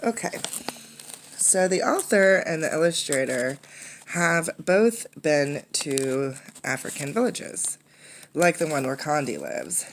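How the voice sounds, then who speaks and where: A woman with a low-pitched voice gives an interactive storybook read-aloud. She speaks as a teacher to children, explaining and inviting them to look and answer. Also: a little hiss or hum. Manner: instructive, poses questions to young listeners. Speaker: teacher reading a picture book aloud.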